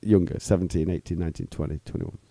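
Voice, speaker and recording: A man's voice: deep voice, quick pace, gravelly, no room sound, clean studio sound. A middle-aged man co-hosting a podcast in crude casual banter, teasing and swearing. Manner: teasing, swearing, lively laughs. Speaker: podcast co-host.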